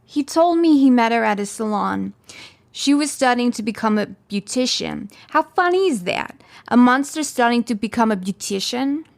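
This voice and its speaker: A woman's voice: a little high, expressive, normal speed, vocal fry. An actress playing a bitter, devout small-town mother in her sixties, blunt and cutting, in a faux-documentary audio drama. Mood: bitter, cold. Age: 60s. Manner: blunt, cutting, matter-of-fact.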